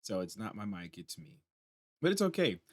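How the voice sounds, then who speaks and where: A tired man with a hoarse voice, casually talking through game patch notes at his computer and reacting as he reads. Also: lowish voice, sing-song, moderate pace, no echo, studio quality. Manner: chatty, reacts aloud while reading on screen. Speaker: gaming channel host.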